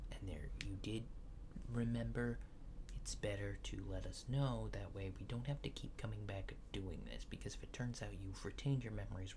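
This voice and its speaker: A man doing a softly spoken, often whispered ASMR roleplay as a mild-mannered alien space agent, murmuring hesitantly and casually. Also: lowish voice, background noise. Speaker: ASMR creator playing an alien abductor.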